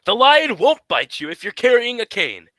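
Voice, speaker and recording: A man playing the bossy, exasperated straight man of a comedy duo in a radio sketch, brisk and explanatory. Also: extremely high pitch, very animated, bright, forceful tone, no echo, full character voice. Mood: scolding, urgent.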